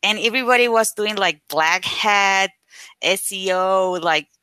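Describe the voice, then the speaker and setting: A woman with Spanish as her first language, talking casually as an interview guest over a video call. Her voice is bright and forward and sits close to the mic with almost no room sound. She chats freely and leans on "like" fillers while acting out old conversations. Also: medium pitch, measured pace, clear, resonant tone, no room sound. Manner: chatty, frequent "like" fillers, animated retelling. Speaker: guest, affiliate marketer.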